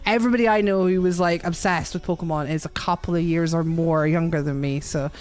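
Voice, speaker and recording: A woman, hosting a casual podcast chat, talkative and quick to laugh. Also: on the low side, fairly even intonation, a little mellow. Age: 40s. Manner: chatty, laughs often, swears casually. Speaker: podcast host and streamer.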